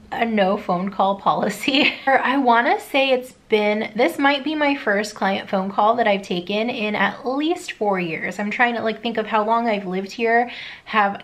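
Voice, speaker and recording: Casual, rambling woman vlogger. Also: mid-range voice. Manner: informal, rambling, thinking aloud. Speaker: dog walker. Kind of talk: casual chatty vlog talk.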